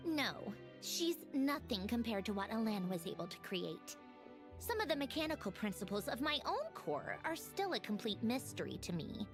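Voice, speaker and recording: A woman's voice: on the high side, very animated, moderate pace. A woman voicing a proud, aloof female puppet scholar in a video game, precise and matter-of-fact as she lectures. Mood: proud, reflective. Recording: game music under the voice. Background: game music.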